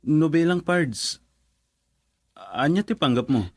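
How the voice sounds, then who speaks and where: A man's voice: on the high side, big pitch swings, slow, heavily acted. An actor playing a curious, teasing male friend who keeps asking questions. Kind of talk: radio drama acting.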